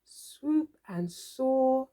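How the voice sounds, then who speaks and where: A woman reading a storybook aloud for young children in an expressive, theatrical, drawn-out way. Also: high voice. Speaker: storybook reader.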